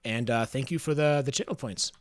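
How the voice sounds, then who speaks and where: A man chatting casually on a livestream, reading chat aloud in a smooth, soothing voice, musing and hesitant with fillers. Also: medium pitch, brisk, dry recording.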